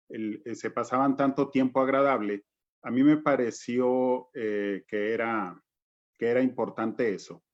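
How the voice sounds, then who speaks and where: A man's voice: mid-range voice, darkish tone. A man with a Mexican accent speaking personally and reflectively as a panelist. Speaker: panelist, reading promoter. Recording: dull lo-fi video call audio.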